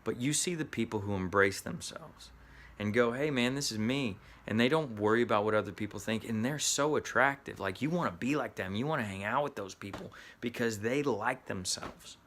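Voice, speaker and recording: A man's voice: mid-range voice, normal speed, a bit bright, slight background noise. A middle-aged man with a Southern US accent, from the Midwest, giving an earnest motivational talk to actors as an acting coach, often restarting his words.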